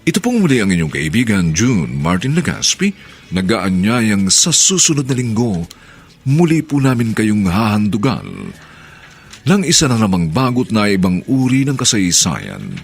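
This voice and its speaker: A man's voice: lowish voice, wildly swooping pitch, moderate pace, vocal fry, breathy edge. A man with a Filipino accent hosting a radio drama, announcing in a formal, theatrical scripted style.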